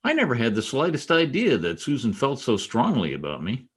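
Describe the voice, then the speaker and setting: A man playing a vain newspaper columnist in an old-time radio comedy, blustering and easily flustered by flattery. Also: medium pitch, brisk, husky. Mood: smitten, pleased. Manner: animated, blustering, hesitant when flattered. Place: home, online video-call production.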